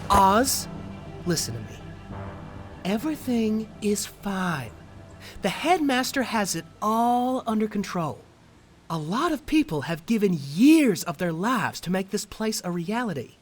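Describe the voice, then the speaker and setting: A woman's voice: medium pitch, very animated, a little slow, faint music behind. A woman playing a cheerful, eccentric, earnest teacher in a comic fan dub, theatrical and coaxing. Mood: firm, coaxing. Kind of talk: animated comic fandub acting.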